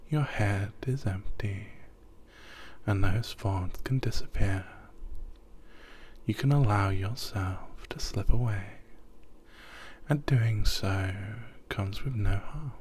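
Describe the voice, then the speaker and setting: A man guiding a sleep hypnosis, talking softly and often half in a whisper, rambling and repeating himself. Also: on the low side, a little hiss or hum.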